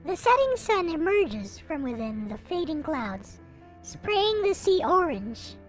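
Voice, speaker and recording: Female narrator, high and animated voice, dramatic visual novel read-aloud with expressive delivery, music underneath. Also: slow. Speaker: video creator reading a visual novel aloud. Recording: music playing behind the voice. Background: visual novel soundtrack music.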